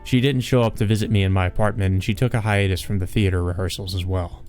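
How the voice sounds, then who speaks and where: A man's voice: deep voice, steady tone, fast talker, a little mellow. A man hosting a let's play, reading a visual novel aloud and adding casual asides. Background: game music.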